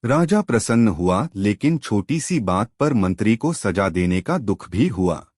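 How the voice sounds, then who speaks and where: A man narrating a moral story in a slow, measured way, ending with a lesson for the listener. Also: lowish voice, big pitch swings, a little mellow, dry recording, studio quality.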